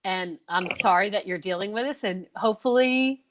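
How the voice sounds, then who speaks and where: A woman's voice: medium pitch, moderate pace, slight background noise. A woman co-hosting a live comedy stream with exuberant, teasing, casual banter, hyping up her guests. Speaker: co-host of a live comedy show.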